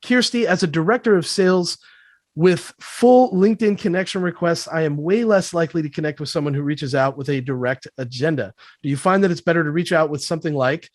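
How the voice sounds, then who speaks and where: Guy hosting a panel, a bit high-voiced, friendly, reads out questions, dry sound. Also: fairly quick, no room sound. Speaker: host.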